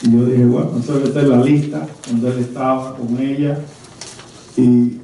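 An elderly man from Havana with a Cuban accent, speaking at a conference and telling personal memories in a deliberate, hesitant way. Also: mid-range voice, flat delivery, warm tone, distant mic, slight background noise. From Havana, Cuba. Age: 70s or older. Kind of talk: personal reminiscence told to a small audience. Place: indoor conference room. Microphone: handheld mic, recorded from a distant camera. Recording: very echoey, muffled room from afar.

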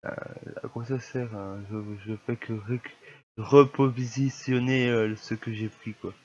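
A man's voice: mid-range voice, fairly even intonation, unhurried, a little mellow, lo-fi sound, a little hiss or hum. A young man with a cold talking through his gameplay in casual, grumbling commentary, with a slightly stuffy voice. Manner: grumbling, easily annoyed at lag. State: has a cold, coughing. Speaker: gamer.

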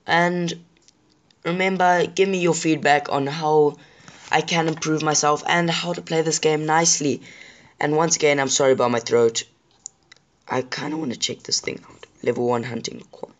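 Chatty gamer with a sore throat. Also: male voice, on the high side, fairly even intonation, normal speed, a bit bright. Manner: chatty, apologetic, addresses viewers directly. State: sore throat, voice a bit rough. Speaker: gaming channel host. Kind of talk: casual gameplay commentary.

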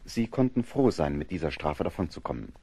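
A man narrating an audio drama from a script in a measured, factual storytelling manner. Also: low-pitched, big pitch swings, warm tone, husky.

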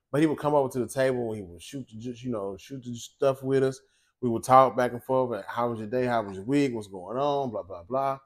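Chatty man hosting a home cooking show. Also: medium pitch. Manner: chatty, animated, talks straight to viewers. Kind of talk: casual cooking chat and monologue. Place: home kitchen, indoors.

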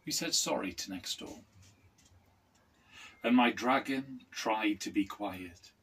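A man's voice: lowish voice, fairly bright tone, husky, low-quality recording. A middle-aged man reading a children's picture book aloud expressively, as a teacher would. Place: small wooden room, indoors.

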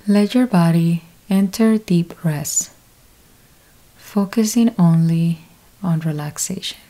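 A woman leading a guided yoga nidra meditation, giving calm step-by-step instructions with long pauses. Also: lowish voice, poor audio.

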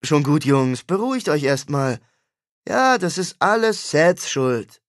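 A cool-headed young monster huntress, calm and coaxing, pitched a little higher, as a man voices her in an audiobook. There is a gravelly edge to the voice. It sounds dry and close. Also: slow, dry recording, full character voice. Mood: soothing.

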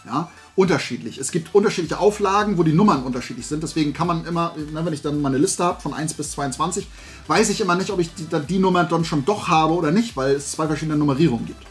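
Casting: middle-aged male vlogger, fairly high voice, rambling enthusiast, music underneath. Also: quick pace. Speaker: YouTube host and collector. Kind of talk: casual haul vlog chat. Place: indoor room full of shelved toys.

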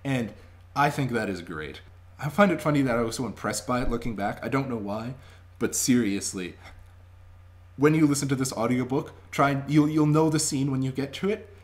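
A man's voice: medium pitch, normal speed. A young adult man talking casually and emphatically to a webcam at home, chatty and enthusiastic as he gives a review. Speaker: vlogger reviewing audiobooks. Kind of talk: casual spoken review to camera. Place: small indoor room at home. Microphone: webcam microphone at arm's length.

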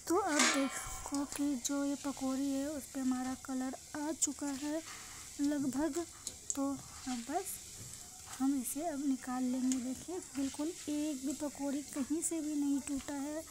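A woman's voice: high voice, fairly even intonation, slow, a little mellow. A woman with an Indian accent giving a chatty, repetitive cooking demonstration to viewers as a home cook. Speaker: home cook and vlog host. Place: indoor home kitchen, beside frying pan. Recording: noisy kitchen phone recording.

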